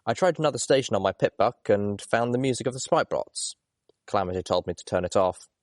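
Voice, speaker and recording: A man narrates a first-person story in a fairly low voice. His reading is expressive, in audiobook fashion, and the recording is dry with little room sound. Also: fast talker, dry recording. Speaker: audiobook reader.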